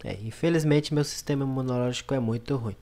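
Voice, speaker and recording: A man's voice: mid-range voice, quick pace, mellow. A man hosting a casual couple podcast while he has a cold, teasing and laughing often. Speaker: podcast host. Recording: laptop mic, faint music.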